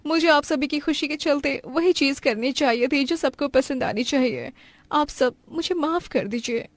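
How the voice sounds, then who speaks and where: A woman's voice: high voice, normal speed, dry recording. A woman voicing a proud, modern young daughter-in-law with a huffy way of talking in a cartoon story. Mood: apologetic. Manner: huffy, complaining.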